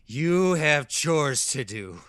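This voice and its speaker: An actor playing a menacing, patronizing abductor in an audio drama, scolding like a strict husband. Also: male voice, fairly high pitch, big pitch swings, slow pace, fairly bright tone, clean tone, no room sound, exaggerated voice. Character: menacing, condescending male abductor, a would-be husband.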